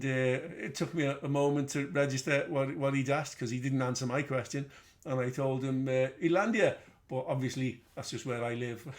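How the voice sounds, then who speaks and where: A middle-aged man with a Liverpool accent, hosting a casual trading webinar in a chatty, rambling way with self-deprecating asides. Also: medium pitch. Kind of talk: casual live webinar teaching.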